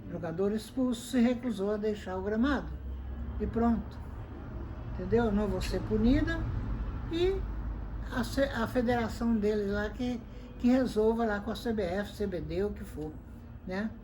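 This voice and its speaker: Older Brazilian woman, interviewee, mid-pitched, animated storyteller, faint background noise. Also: normal speed, poor audio. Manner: animated, acts out both sides of quoted exchanges. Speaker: interviewee, former football referee. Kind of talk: conversational storytelling.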